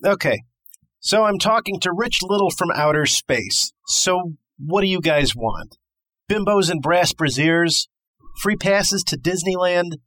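Brash, animated radio phone-in host. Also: male voice, high voice, unhurried, no echo, heavily acted, poor audio. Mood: wry, baffled. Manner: wisecracking, theatrical. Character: brash, sarcastic male radio phone-in host, easily exasperated. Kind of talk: radio play acting as talk show host.

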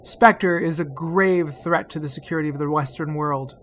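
An actor playing a fussy, protective gadget inventor in a spy spoof, earnest and theatrical. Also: male voice, a little high, fairly even intonation, moderate pace, a bit bright, a little hiss or hum, lightly acted. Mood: earnest briefing.

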